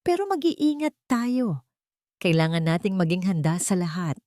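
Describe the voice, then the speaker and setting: A woman voicing a resolute wronged mother in a melodramatic audio story, quiet but firm. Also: fairly low pitch, big pitch swings, normal speed, no echo, clean studio sound. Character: resolute wronged young mother, steady despite fear.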